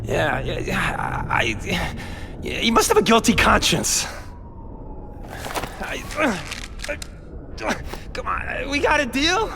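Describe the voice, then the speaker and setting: High, stammering, gravelly street crook. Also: male voice, huge pitch swings, slow, long pauses, background noise, heavily acted. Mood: rattled, pleading. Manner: stammering, punctuates talk with questioning tags. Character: jittery male street criminal, nervous, blustering, boastful. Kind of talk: video game cutscene acting.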